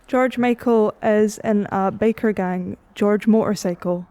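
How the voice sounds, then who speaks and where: A woman's voice: mid-range voice, a little slow, mellow, dry recording. A woman joining in casual word-game banter on a podcast. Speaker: podcast panelist.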